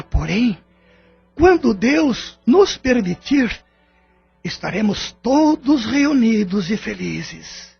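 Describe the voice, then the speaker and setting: A Brazilian man playing the solemn, loving spirit of a dead father in an audio drama, speaking formally and with measured care. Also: high-pitched, vocal fry, breathy edge, exaggerated voice. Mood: tender, solemn. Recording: dull lo-fi audio with music underneath. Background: music.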